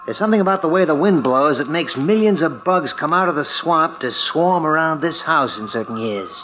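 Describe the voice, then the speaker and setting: Smug scheming villain, cocky slangy talk, radio thriller, muffled old recording, loud background noise. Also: male voice, a little high, lively intonation, fairly quick. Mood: smug, menacing. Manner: cocky, slangy, casual tough-guy talk. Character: scheming, smug, murderous man coveting another's wife.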